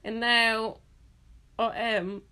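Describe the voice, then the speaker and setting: A woman voicing a young reality-show contestant and part-time model, talking slowly and dreamily. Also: on the high side, flat delivery, bright, forceful tone, smooth, pitched above the performer's own voice, exaggerated voice. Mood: dreamy.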